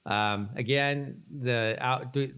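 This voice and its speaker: A man co-hosting a podcast in casual chat, reading out bits of trivia and thinking aloud in a halting way. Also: mid-range voice, steady tone, fairly bright tone, smooth. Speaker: podcast co-host.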